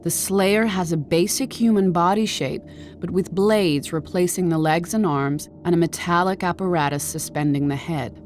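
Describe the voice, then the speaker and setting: An actress playing a composed researcher who catalogues monsters in measured, clinical scripted narration for a video game. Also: female voice, lowish voice, darkish tone, slight background noise, lightly acted.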